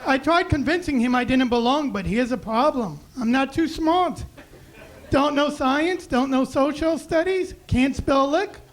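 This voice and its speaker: A young man with a high, raspy voice doing a self-deprecating deadpan stand-up set on a club stage mic. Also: a little slow, slight background noise. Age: young adult. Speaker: stand-up comedian. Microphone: handheld stage mic.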